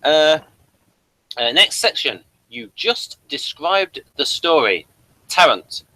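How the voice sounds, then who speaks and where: Lively, bright-voiced man hosting a review. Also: a little high, slow, dry recording, poor audio. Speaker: host of a TV series review. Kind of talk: casual review, reading from notes.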